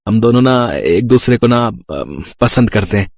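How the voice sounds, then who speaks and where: A man's voice: mid-range voice, expressive, measured pace, a little mellow, no echo, clean studio sound. A man voicing a bashful, hesitant young son in love in a cartoon story. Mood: shy. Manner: hesitant, filler-laden. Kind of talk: Hindi cartoon story dialogue.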